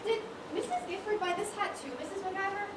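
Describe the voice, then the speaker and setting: A woman on stage playing an eager, polite and excitable young shop assistant. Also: very high-pitched, a little fast, a crowd in the background. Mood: deferential, chatty. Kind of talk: amateur stage acting, one-act school play. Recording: echoey stage, distant mic, clear noise.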